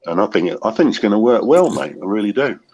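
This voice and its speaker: A man's voice: lowish voice, big pitch swings, quick pace, a little mellow, husky, rough recording. A man in a casual football chat, hesitant with many fillers, calling people mate. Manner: hesitant, lots of ums, calls people mate.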